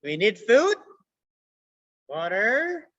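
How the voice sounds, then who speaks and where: A woman teaching a young children's science class over a video call, repeating words slowly for the kids. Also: a little high, sing-song, fairly bright tone. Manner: slow, repetitive, drawn-out words for children. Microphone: computer mic on a video call. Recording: dull lo-fi video call audio.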